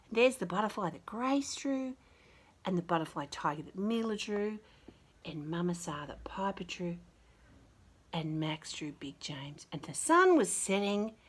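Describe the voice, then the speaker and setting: A woman storyteller talks to small children as she shares a picture book. Her voice is bright and very lively in pitch. She gushes with enthusiasm and leans on words with exaggerated emphasis. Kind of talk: picture book reading with chatty commentary for young children.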